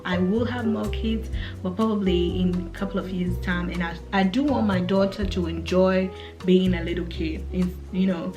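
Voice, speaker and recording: Chatty young African woman vlogger. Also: on the low side. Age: 20s. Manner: chatty and relaxed, with fillers and restarts. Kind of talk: casual chat to camera.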